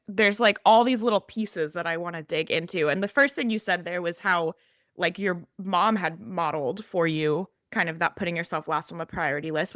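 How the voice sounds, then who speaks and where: A woman's voice: lowish voice, a bit bright, dry recording, clean studio sound. A woman hosting a podcast in a conversational interview style. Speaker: podcast host.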